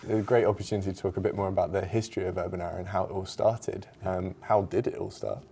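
A man's voice: low voice, flat delivery, fast talker, vocal fry, dark tone, breathy edge. A man from England hosting, chatting and asking questions on a company tour. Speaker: host, interviewer. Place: warehouse and office.

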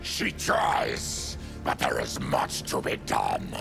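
A man's voice: extremely low pitch, wildly swooping pitch, slow, creaky, over music, heavily acted. A man voicing a fanged mutant warrior in a fighting game, grim yet honorable, with a guttural growl. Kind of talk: video game fight dialogue.

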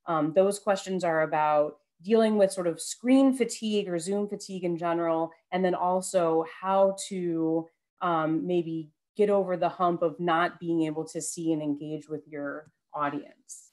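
A woman's voice: on the low side, fairly even intonation, a little slow, darkish tone. A young woman moderating an online panel discussion, speaking into a headset on a video call. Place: room at home, video call. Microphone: headset boom mic.

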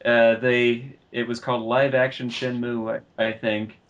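A man speaks slowly as a co-host in a casual discussion. His mid-pitched delivery is halting, and he often pauses and repeats a phrase before carrying on. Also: level tone, dull audio. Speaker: podcast co-host. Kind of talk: casual film and game discussion.

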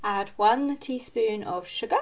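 A young woman cheerfully walking through a recipe step by step in her home kitchen, in a measured, deliberate way. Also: medium pitch, noisy background. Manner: slow, cheerful, measured instructions. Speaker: vlogger cooking at home.

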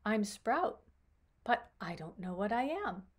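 A woman's voice: mid-range voice, sing-song, slow. A woman voicing a small, newly arrived storybook creature who sounds innocent, unsure and wondering.

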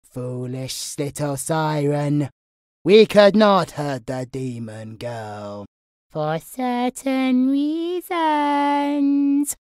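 A woman's voice: on the low side, smooth, no room sound, clean studio sound. A woman playing a gleeful, sinister demonic entity in a horror audio roleplay, taunting in a singsong, menacing way.

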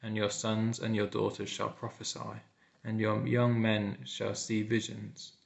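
Gravelly young man reading scripture, dull recording. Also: on the low side, completely flat delivery, unhurried. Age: young adult. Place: plain room indoors.

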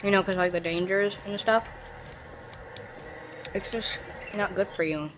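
A woman's voice: mid-range voice, a little slow, noisy background. Young woman, home cooking vlog, casual filler-heavy chat, camera at arm's length. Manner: chatty, lots of "like" and "you know". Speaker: cooking vlogger. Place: home kitchen, indoors. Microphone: phone or camera at arm's length. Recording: music playing underneath.

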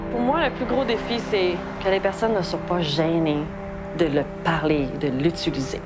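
A woman's voice: lowish voice, normal speed, over loud music. A woman with a Canadian French accent, a native French speaker, talking casually in an interview. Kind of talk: documentary interview.

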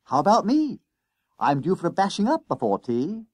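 A man as an eager, high-pitched bossy schoolboy. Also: normal speed, slightly rough, no room sound, clean studio sound, full character voice. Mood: concerned, indignant. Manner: excitable, insistent boarding-school slang. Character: eager, bold, bossy schoolboy hatching schemes.

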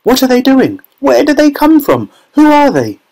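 Storybook onlookers, all acted by a man: very high, astonished, shouted exclamations, excitable. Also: normal speed, full character voice. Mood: astonished excitement. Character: amazed, clamouring onlookers, children and parents.

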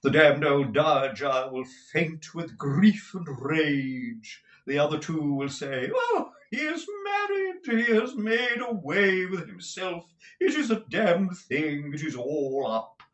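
A man voicing a foppish, wheedling, swearing husband from a period novel, with drawn-out, theatrical, excitable delivery. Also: fairly high pitch, echoey room, pitched above the performer's own voice, heavily acted. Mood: gleeful mockery. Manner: drawn-out, swooping, gushing delivery. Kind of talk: audiobook character voice from a period novel.

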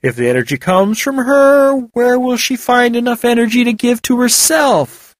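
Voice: mid-range voice, expressive, normal speed, no echo, studio quality, pitched above the performer's own voice, natural voice. A narrator voicing a sardonic, distrustful fantasy companion who talks in a mocking tone. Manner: sarcastic, mocking.

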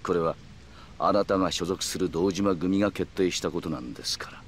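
An actor playing a calm, calculating middle-aged yakuza man who speaks in polite, measured, formal phrases in a video game. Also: low-pitched, slight background noise, close to an everyday voice. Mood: measured, insinuating. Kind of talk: Japanese video game cutscene acting.

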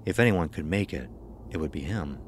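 A man's voice: deep voice, expressive, brisk, noisy background. A man narrating a scripted horror story, with ambience behind him.

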